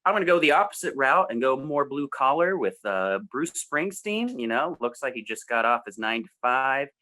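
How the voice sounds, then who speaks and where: Bright-voiced American man chatting casually. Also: medium pitch, sing-song, moderate pace. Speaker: music show co-host. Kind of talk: casual chat.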